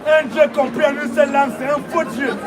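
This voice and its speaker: A man's voice: very high voice, fairly even intonation, quick pace, loud music behind the voice, over a noisy crowd. A man preaching outdoors in a city, declaiming in short repeated phrases that a partner answers. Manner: declamatory, repetitive, call-and-response. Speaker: street preacher. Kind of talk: open-air sermon.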